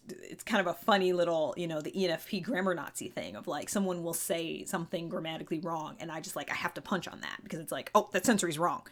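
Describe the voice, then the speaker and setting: A woman hosting a podcast, talking through personality theory in a casual, chatty way full of fillers and restarts. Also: lowish voice. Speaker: podcast host. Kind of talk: casual explanatory monologue.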